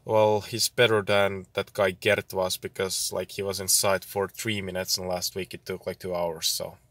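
A man's voice: sits low, level tone, moderate pace, fairly bright tone. A young man from Estonia vlogging casually outdoors at night and from his car, rambling and self-deprecating, swearing now and then. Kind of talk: casual vlog chat.

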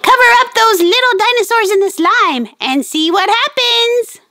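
A woman's voice: very high-pitched, animated, moderate pace, heavily acted. A woman voicing a curious, upbeat young puppet girl in a children's toy video, chatty and wide-eyed. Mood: excited. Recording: loud music underneath. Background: loud music.

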